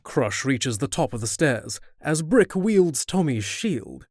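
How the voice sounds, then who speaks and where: A man's voice: medium pitch, big pitch swings, slow, a little hiss or hum. A man narrating a scripted fantasy audio drama in a descriptive storytelling manner.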